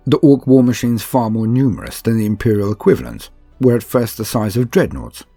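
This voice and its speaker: A man with a deep, husky voice narrates war lore in a calm and steady way. His reading is measured and scripted, like a bedtime audiobook. The recording is close and dry, with faint music behind him. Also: no echo. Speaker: narrator reading a campaign book. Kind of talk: calm sleep-aid reading.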